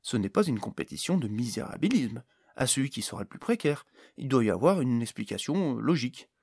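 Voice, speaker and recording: A man's voice: medium pitch, very animated, a little fast, creaky, darkish tone, husky, dry recording, clean studio sound, exaggerated voice. Man voicing an outspoken, practical fairy woman. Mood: reasoning. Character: female fairy companion, practical, outspoken, indignant at arrogance.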